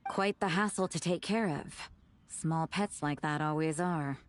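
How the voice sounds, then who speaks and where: A woman voicing a dry, unimpressed adult woman in a video game, with a curt, deadpan manner. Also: deep voice, measured pace, a little hiss or hum. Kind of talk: scripted video game dialogue.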